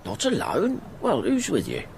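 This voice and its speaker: A man's voice: on the high side, huge pitch swings, normal speed, vocal fry, slight background noise, exaggerated voice. An actor playing a poor, humble middle-aged porter and devoted father in a radio drama, rambling in folksy working-class speech. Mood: tender, surprised. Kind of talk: radio drama acting, first-person monologue.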